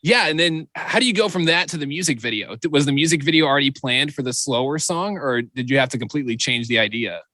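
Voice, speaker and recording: A man's voice: medium pitch, animated, fast talker, few pauses, sharp tone, dry recording. A man hosting a radio show, asking easygoing, enthusiastic questions in a casual interview. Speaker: radio host.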